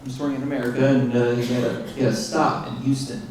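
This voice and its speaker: A man, the filmmaker, answering audience questions in halting speech full of fillers and restarts, recorded in a theater. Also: medium pitch, level tone, darkish tone, slight background noise. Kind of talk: post-screening Q&A answers. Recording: echoey theater from far off.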